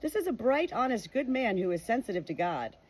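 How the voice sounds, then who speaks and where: A woman's voice: fairly high pitch, very animated, brisk, a little mellow, slightly rough. Earnest woman reading a faith book aloud. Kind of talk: reading a nonfiction book aloud with personal reflection.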